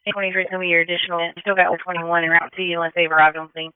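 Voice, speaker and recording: A female dispatcher talks quickly and evenly over a thin, phone-like radio channel. She sounds crisp and businesslike as she relays units and confirms details in emergency traffic. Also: fairly low pitch, level tone, dry recording. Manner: businesslike, reads back information. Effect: two-way radio transmission.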